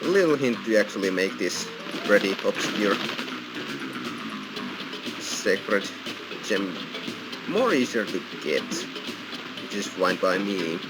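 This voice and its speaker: A man's voice: fairly low pitch, very animated, slow pace, long pauses, rough recording. A man giving casual walkthrough commentary as host of a gaming video. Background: loud game music.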